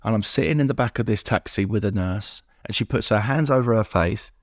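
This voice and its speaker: A man's voice: on the low side, fairly quick, no room sound, studio quality. A middle-aged man, speaking as a podcast guest and telling personal recovery stories, acting out what people said. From England.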